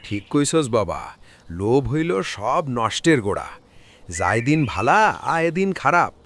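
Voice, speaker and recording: An actor playing a simple, agreeable older village uncle in a cartoon, folksy and worldly-wise, with a rural Bangladeshi Bengali accent. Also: male voice, medium pitch, big pitch swings, measured pace, a bit bright, exaggerated voice. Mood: resigned, agreeable. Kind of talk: cartoon village drama dialogue. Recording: faint background noise with clicks.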